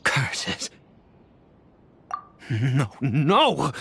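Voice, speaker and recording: A man's voice: mid-range voice, big pitch swings, extremely slow, creaky, pushed and bright, a little hiss or hum, full character voice. An actor playing a weary, grieving immortal father in a video game, with theatrical and hesitant delivery that swings between murmurs and anguished outbursts. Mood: grim, restless.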